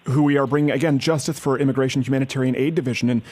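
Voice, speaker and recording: A man's voice: mid-range voice, steady tone, racing, a little mellow. A man making a prank phone call, pushy and falsely sincere, often stammering and restarting his sentences. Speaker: prank caller.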